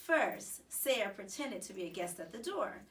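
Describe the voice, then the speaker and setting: Woman reading a kids book out loud, really animated, mic kinda far away. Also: mid-range voice, a little slow, slight background noise. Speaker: storybook reader. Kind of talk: expressive scripted children's story reading.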